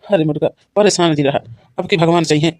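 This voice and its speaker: Guy doing a cartoon village farmer, awadhi accent, talks fast, slightly high for a man, dry sound, determined. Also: darkish tone, no room sound, natural voice. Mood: determined, reassuring. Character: determined, hardworking young farmer, devoted elder brother. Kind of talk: cartoon village comedy dialogue.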